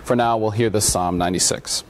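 A man in his thirties, a pastor with a scratchy voice, preaching and reading scripture in a measured, deliberate way. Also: on the low side. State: scratchy voice from allergies. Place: church sanctuary at a pulpit. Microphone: lapel mic.

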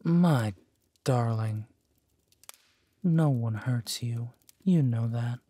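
An actor playing a protective villainous duke, tender and soothing, in an intimate audio roleplay. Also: male voice, medium pitch, dry recording. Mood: proud, menacing. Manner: gentle, soothing, unhurried.

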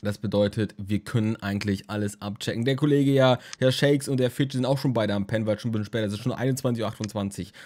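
A man's voice: fairly low pitch, very fast, hardly any pauses, darkish tone. Tired young man, rambling game commentary. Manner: rambling, jokey, crude humor. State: tired, just woke from a nap. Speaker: let's play host.

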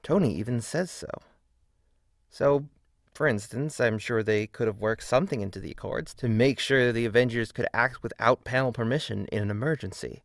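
A man's voice: medium pitch, normal speed, no echo. A man narrates a video essay in a mid-range voice. He is emphatic and opinionated, with wry, casual asides breaking up the script. The sound is dry and close.

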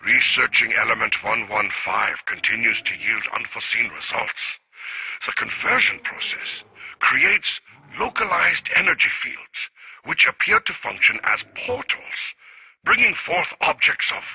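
A grave obsessed scientist dictating game audio logs, formal, piercing bright voice, pitch moves around a lot. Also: male voice, expressive, gravelly, breathy edge, exaggerated voice, rough recording. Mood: intrigued. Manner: formal, deliberate, brooding. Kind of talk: scripted audio-log monologue.

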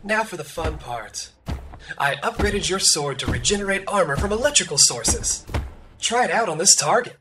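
A man's voice: high-pitched, animated, normal speed, noisy background. A man voicing a breezy, chummy mech engineer guiding the player through a video game tutorial. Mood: chummy, upbeat. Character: upbeat, playful mech engineer, proud of his machine.

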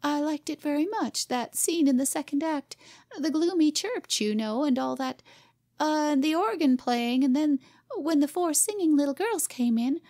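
Casting: a fluttery, high-voiced society wife chattering nervously, read by a woman. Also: lively intonation, normal speed, a little mellow, dry recording, studio quality. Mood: flustered.

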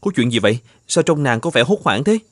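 Earnest young bridegroom. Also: male voice, medium pitch, sing-song, fairly quick, no room sound. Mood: worried. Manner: earnest, questioning, old-fashioned polite speech. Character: earnest young bridegroom, devoted and easily puzzled. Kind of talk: animated folktale dubbing. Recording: music under the voice.

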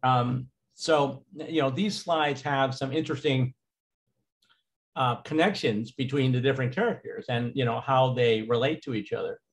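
A man teaching a recorded slideshow lecture in a relaxed way, with frequent fillers. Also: medium pitch, normal speed.

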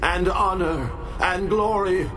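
A man's voice: high voice, slow pace, a bit bright. A man voicing the four beasts around the throne, declaiming solemnly over music.

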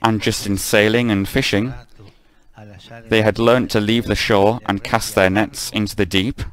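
A man giving a live spoken translation of a homily, measured, with frequent stumbles and self-corrections. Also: on the low side, steady tone, a bit bright, rough recording.

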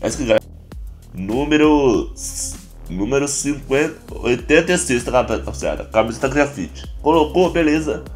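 A Brazilian man with a hoarse, congested voice, chatting casually through a game tutorial as a YouTuber. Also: mid-range voice, normal speed, soft background music. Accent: Brazilian Portuguese. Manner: relaxed, chatty, constant filler address to viewers. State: hoarse, stuffy nose and cough. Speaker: gaming YouTuber.

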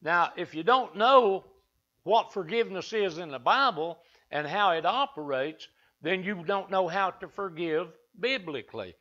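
A man's voice: high voice, lively intonation, unhurried, sharp tone. An older man with an Appalachian accent, preaching a folksy sermon to his congregation in a wood-panelled church. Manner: down-home, talks to the congregation and asks them back. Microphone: lectern mic from a far camera. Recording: dull lo-fi church recording.

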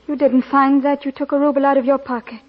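An actress playing a gentle, downtrodden young woman, earnest, in old-time radio drama style. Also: sits high, little pitch movement, quick pace, close to an everyday voice. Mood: sad, grateful. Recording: dull old radio recording.

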